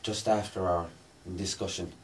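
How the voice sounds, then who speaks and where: A man's voice: sits low, fairly even intonation, moderate pace, natural voice. A man playing a timid, earnest scientist, hesitant and deferential, in a comic radio drama. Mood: hesitant, embarrassed. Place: room in a large private home. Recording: slightly distant, faint background noise.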